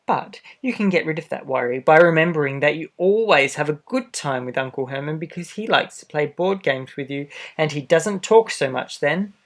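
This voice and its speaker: A man reading a children's storybook aloud expressively, adding gentle asides for young listeners. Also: on the high side, expressive, normal speed, hardly any pauses. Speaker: storybook reader. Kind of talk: children's picture book read-aloud.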